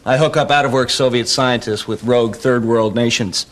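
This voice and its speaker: A man's voice: medium pitch, fairly even intonation, normal speed, natural voice. An actor in his thirties playing a wisecracking man in sitcom dialogue. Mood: deadpan recital. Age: 30s. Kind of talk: sitcom dialogue with flubbed takes. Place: indoor TV studio set.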